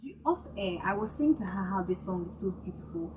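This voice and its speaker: A woman's voice: medium pitch, expressive, quick pace, far from the mic, faint music behind. Enthusiastic woman radio host. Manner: warm, enthusiastic, effusive praise. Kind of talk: radio interview. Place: radio studio. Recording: lo-fi broadcast with clear background noise.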